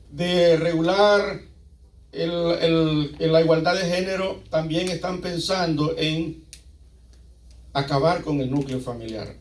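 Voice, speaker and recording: High-voiced Latin American man preaching, echoey room. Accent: Latin American Spanish. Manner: emphatic, asks rhetorical questions, repeats phrases for emphasis. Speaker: pastor preaching. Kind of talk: sermon to a congregation. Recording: echoey room, picked up from a distance.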